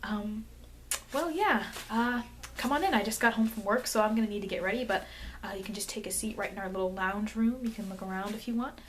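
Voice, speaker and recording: Through a faintly noisy amateur mic comes a woman acting as an eager young-seeming vampire girl in an ASMR roleplay. She is friendly and chatty, with a mid-pitched voice. She rambles awkwardly and hesitates as she goes. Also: fairly quick, close to an everyday voice. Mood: flustered, surprised. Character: friendly, flustered, eager vampire girl, thousands of years old. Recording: noisy amateur microphone, background hiss.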